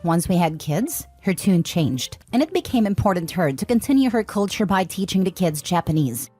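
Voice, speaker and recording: A woman reading forum posts aloud as scripted narration, in an even, steady way. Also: fairly low pitch, normal speed, breathy edge. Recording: faint background music.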